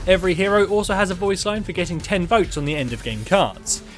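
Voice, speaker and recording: A man's voice: high voice, lively intonation, fast, music in the background. A man hosting a video, reading scripted narration. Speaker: video host. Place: close mic voice-over with music behind.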